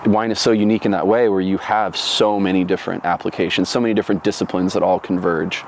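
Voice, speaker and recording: A man's voice: sits low, level tone, quick pace, slight background noise. A man from Florida in his thirties, telling his life story in an oral history interview, reflective and rambling with many fillers and restarts.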